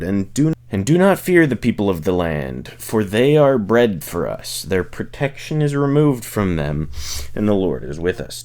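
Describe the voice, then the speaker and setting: A man reading scripture aloud as expressive narration, delivering the speeches in a solemn, declamatory way. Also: fairly low pitch. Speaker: reader of a Bible audiobook series.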